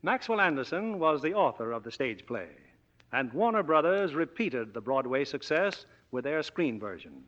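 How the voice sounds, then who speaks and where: A man hosting a 1940s radio theatre with formal, measured, theatrical scripted narration. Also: a little high, fairly bright tone. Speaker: radio theatre host and producer. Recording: dull vintage radio recording with music.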